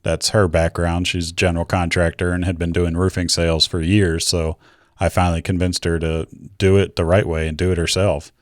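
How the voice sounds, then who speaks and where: A man's voice: deep voice, little pitch movement, brisk, no room sound, studio quality. A middle-aged American man narrating his own personal story to viewers in a candid, reflective way. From Georgia, United States. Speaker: video creator telling his own story.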